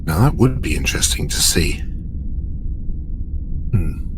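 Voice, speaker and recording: A man's voice: a bass voice, big pitch swings, a little slow, pitched below the performer's own voice, heavily acted. A man playing a reserved starship science chief in a tabletop game, understated and thinking aloud. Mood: musing. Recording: noticeable background noise.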